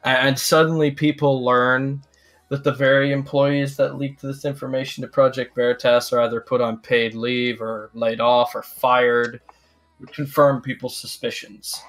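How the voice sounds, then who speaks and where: A man giving casual livestream commentary, explaining things in a deliberate, hedging way. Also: medium pitch, flat delivery, fairly bright tone.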